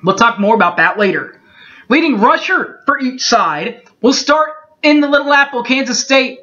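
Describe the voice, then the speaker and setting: A man in his thirties reading through postgame football stats from his bedroom, steady and methodical, with small slips he corrects as he goes. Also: extremely high pitch, normal speed. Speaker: sports fan recapping a game. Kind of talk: webcam postgame stats recap. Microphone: webcam mic. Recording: dull lo-fi webcam audio.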